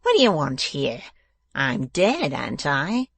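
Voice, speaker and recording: A man voicing a malicious male dwarf villain in a storybook, taunting and domineering, with slow emphatic menace. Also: fairly high pitch, huge pitch swings, gravelly, fairly bright tone, slightly rough, no room sound, exaggerated voice. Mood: gleeful malice. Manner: menacing, emphatic, drawn-out delivery.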